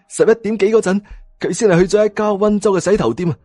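A man with a Hong Kong Cantonese accent voicing a brash, excitable, mouthy young sidekick in an audio story. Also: high-pitched, a little fast, breathy edge, dry recording, studio quality, soft background music, voice pushed up, heavily acted. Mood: reporting eagerly.

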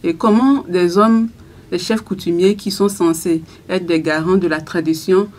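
A young woman radio journalist answering interview questions in a small radio studio, thoughtful, with frequent pauses and restarts. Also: fairly low pitch, steady tone, a little mellow. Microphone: studio microphone on a stand.

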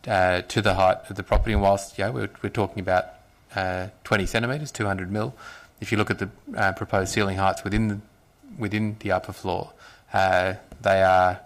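Male councillor, low flat delivery, halting with fillers, Australian accent, desk mic in a big chamber. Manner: halting, many fillers and restarts. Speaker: city councillor. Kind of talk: council debate speech. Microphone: desk microphone.

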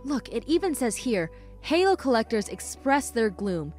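A woman playing a keen, earnest young police detective in an amateur animated film. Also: a little high, moderate pace, soft background music. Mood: thoughtful. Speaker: voice actor in a fan animated film. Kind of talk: scripted amateur dramatic dialogue.

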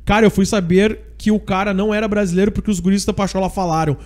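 This is a man with a high-pitched voice and a gaúcho accent from southern Brazil. He hosts a live radio show casually and with plenty of slang, speaking into a studio microphone. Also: fairly even intonation, quick pace, darkish tone. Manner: informal, slangy. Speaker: radio sports host. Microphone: studio microphone on a boom arm.

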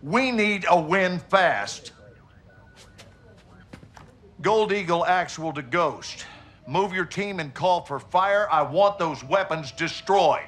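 A curt, commanding American general. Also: male voice, sits high, lively intonation, slow, fairly bright tone, faint music behind, heavily acted. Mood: angry, forceful. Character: commanding, profane American army general, impatient and forceful. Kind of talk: dramatic game cutscene acting.

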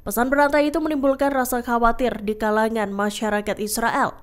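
A woman narrates the news from a script. Her voice sits on the high side. She keeps a formal, measured delivery suited to a news presenter. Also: little pitch movement.

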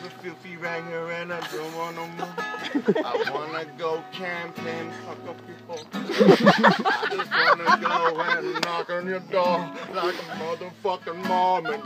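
Young Australian man, amateur freestyle rapper; high, unhurried, crude and giggly, over plucked guitar. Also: lively intonation. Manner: loose, giggly and crude, making up rhymes on the spot. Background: acoustic guitar playing.

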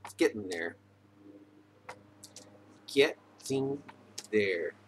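Halting, low-voiced middle-aged man. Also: lively intonation. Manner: halting, hesitant, trailing off. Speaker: video maker. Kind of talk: casual webcam talk. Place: indoors, wood-panelled room at home. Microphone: computer or webcam microphone, near arm's length. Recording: noisy webcam microphone with room ambience.

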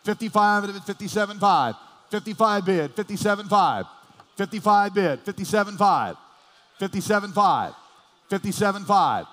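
Guy auctioneer doing that repetitive bid chant, high voice, big reverby hall pa. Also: animated, normal speed, a bit bright. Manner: repetitive bid calling, urging the bidders. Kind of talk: rapid-fire live car auction chant.

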